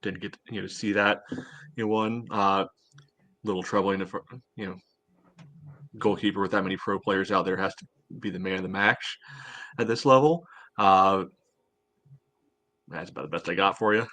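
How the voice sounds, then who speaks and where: A man's voice: sits low, fairly even intonation, moderate pace, long pauses, sharp tone, no echo. A man in casual sports chat as a podcast panelist, talking in an offhand way. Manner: offhand, frequent "you know".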